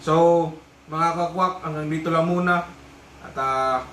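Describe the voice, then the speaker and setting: Echoey, higher-voiced Filipino man reviewing gear. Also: fairly even intonation, a little slow, fairly bright tone. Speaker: vlogger reviewing a motorcycle intercom. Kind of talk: casual product unboxing review. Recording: echoey room, distant mic, faint noise.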